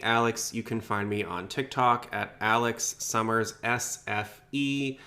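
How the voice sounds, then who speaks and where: A man's voice: lowish voice, a bit bright. A man hosting a casual podcast, talking in an informal, rambling way. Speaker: podcast host.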